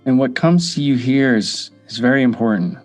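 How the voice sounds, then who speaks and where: A man guiding a meditation, speaking in short measured phrases with pauses between them. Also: medium pitch, lively intonation, darkish tone. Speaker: meditation guide. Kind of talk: guided meditation. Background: background music.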